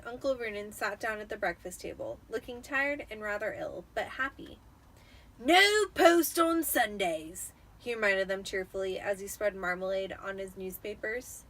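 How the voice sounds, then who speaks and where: A woman's voice: mid-range voice, a bit bright, rough recording. A tipsy woman giving an amateur dramatic reading of a storybook. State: tipsy after drinking wine.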